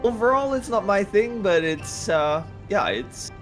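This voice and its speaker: A man's voice: high-pitched, expressive, measured pace. A man chatting casually as a livestream co-presenter, hesitant and circling back over his phrases. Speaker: co-presenter working on the project. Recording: music playing behind voice. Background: music.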